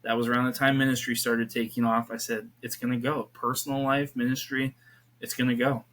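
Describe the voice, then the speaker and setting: Earnest man in his thirties, flat delivery. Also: mid-range voice, brisk. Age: 30s. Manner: earnest, rambling with many restarts and fillers. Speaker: podcast guest, youth pastor. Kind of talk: casual faith conversation.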